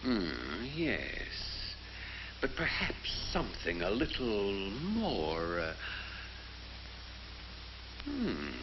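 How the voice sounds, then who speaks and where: Sly, drawling otherworldly schemer, muffled old film. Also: male voice, on the low side, wildly swooping pitch, very slow, long pauses, exaggerated voice. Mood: scheming. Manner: theatrical, drawling, smug. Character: sly, teasing male otherworldly schemer who bets on mortals. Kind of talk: 1950s training film acting. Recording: muffled, noisy 1950s film soundtrack.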